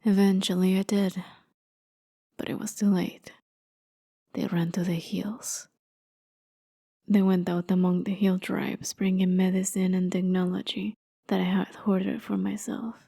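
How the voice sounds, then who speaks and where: Woman performing immortal demigoddess warrior, jaded sardonic captor, gravelly fry, slow delivery, soft near-whisper, ASMR roleplay. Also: medium pitch, flat delivery. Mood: wry, reminiscing. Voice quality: soft, breathy, often dropping to a near whisper. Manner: sardonic, conversational, world-weary storytelling. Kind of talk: ASMR roleplay, dramatic monologue.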